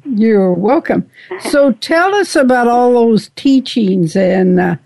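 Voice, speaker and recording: A woman hosting a radio talk show, speaking slowly and unhurriedly. Her voice sits a little high and comes across folksy and chatty. The sound is close and dry, with no room around it. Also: expressive, dark tone, no room sound, rough recording. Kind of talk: casual talk radio interview.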